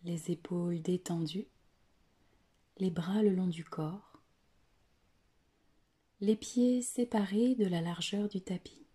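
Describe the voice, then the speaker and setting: A woman guides a meditation in a very husky, breathy voice that often sinks toward a whisper. She speaks very slowly and deliberately, leaving long pauses between short phrases. Her tone is mellow and soothing, pitched a little low. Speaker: yoga nidra guide.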